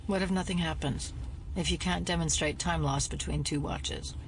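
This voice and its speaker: An actress playing a level-headed, sceptical FBI investigator in an audio drama, with a measured, serious delivery. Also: female voice, deep voice, steady tone, slight background noise.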